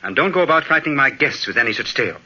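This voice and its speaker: An actor playing an exasperated English gentleman who is master of a haunted house in an old radio drama, with a British accent and clipped, dramatic delivery. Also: medium pitch, animated, very fast, slight background noise. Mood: sceptical, irritated. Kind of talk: 1940s radio drama acting and narration. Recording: dull vintage radio recording.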